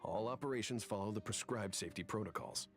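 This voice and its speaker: A man's voice: lowish voice, quick pace, soft background music, close to an everyday voice. A man as an earnest, formal professor. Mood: defensive, insistent. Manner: formal, pressing. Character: earnest, stiff, persuasive male academic professor. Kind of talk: video game voice acting.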